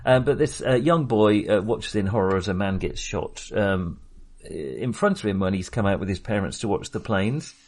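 Hesitant, lower-voiced male podcast host. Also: expressive, moderate pace, a little mellow. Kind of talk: casual film discussion.

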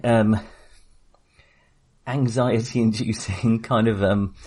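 A man with a fairly low voice talks through a film as a podcast co-host. His delivery is casual and halting, feeling its way through each thought with a wry edge. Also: fairly even intonation, darkish tone, breathy edge.

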